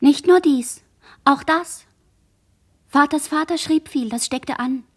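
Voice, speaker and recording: A woman's voice: high voice, takes it slowly. A woman playing a dazed young girl who murmurs a fragmented, incantatory inner monologue in a literary radio play. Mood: fragmented, musing.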